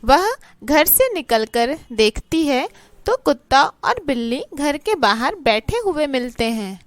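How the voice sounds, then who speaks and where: A woman narrating a children's cartoon story in a slow storytelling manner. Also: high-pitched, very animated, no room sound.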